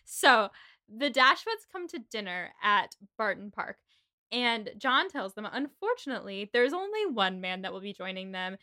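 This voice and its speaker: A woman's voice: mid-range voice, big pitch swings, normal speed, bright, forceful tone. A woman co-hosting a casual book podcast, chatty and laughing often, swearing freely as she recaps chapters. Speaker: podcast co-host. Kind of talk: casual book-club chat.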